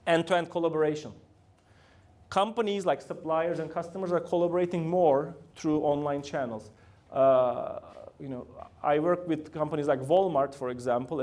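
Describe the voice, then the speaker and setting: A man's voice: a little high, a little mellow. Man with a Turkish accent lecturing. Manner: asks the room frequent questions, loose grammar. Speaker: guest lecturer. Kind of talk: classroom lecture with audience questions. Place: university lecture room.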